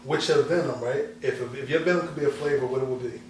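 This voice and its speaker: A man's voice: mid-range voice, fast. A man hosting a casual interview, playful and joking with slangy talk. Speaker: interview host. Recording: echoey room, mic at a distance, background hum.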